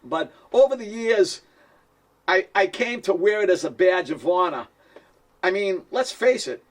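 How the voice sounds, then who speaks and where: A man with a heavy Boston accent talks to camera about his music in an easy, rambling way. He pokes fun at himself and laughs lightly as he goes. His voice sits a little high and sounds a few steps back from the microphone. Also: recorded from a distance. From Boston, USA. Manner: chatty and self-deprecating, chuckles often. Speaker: musician and producer. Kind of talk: casual direct-to-camera talk.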